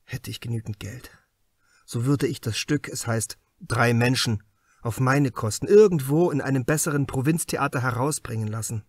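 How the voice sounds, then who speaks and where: A man's voice: mid-range voice, normal speed, slightly rough, no room sound, studio quality, lightly acted. A man voicing an idealistic, embittered writer in an audiobook, speaking in an earnest, opinionated way. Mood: wry, resigned. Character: idealistic, embittered young male writer under suspicion. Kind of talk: audiobook character dialogue.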